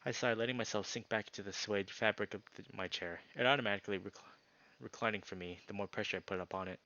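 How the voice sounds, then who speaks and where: A man narrating a visual novel aloud as a streamer, with informal, expressive asides. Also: lowish voice, fast, muffled recording.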